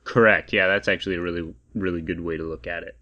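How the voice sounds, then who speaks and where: A man's voice: deep voice, steady tone, quick pace, dull audio, a crowd in the background. A man co-hosting a podcast, chatting casually and explaining gaming news in an informal, bantering way. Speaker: podcast co-host.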